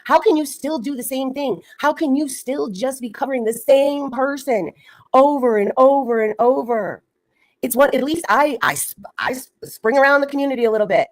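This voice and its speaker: A woman's voice: sits high, moderate pace, no room sound. A woman in her fifties co-hosting a livestream, lecturing indignantly and repeating herself for emphasis. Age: 50s. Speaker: livestream co-host. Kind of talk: casual livestream chat.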